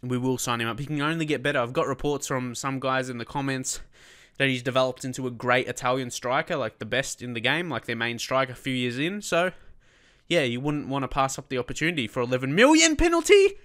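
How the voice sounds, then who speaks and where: Let's-play host, man with a head cold, rambling then excited, bright smooth tone. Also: mid-range voice, fast. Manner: chatty, many fillers, bursts of excitement at goals. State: blocked nose from a cold. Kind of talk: casual gameplay commentary.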